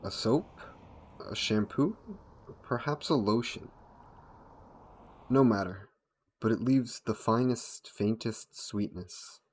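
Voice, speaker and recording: A man playing a courtly, seductive vampire in an intimate roleplay audio, speaking formally and coaxingly to the listener. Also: on the low side. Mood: seductive, soothing. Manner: formal, gentlemanly, coaxing, unhurried. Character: courtly, seductive male vampire, hungry and predatory.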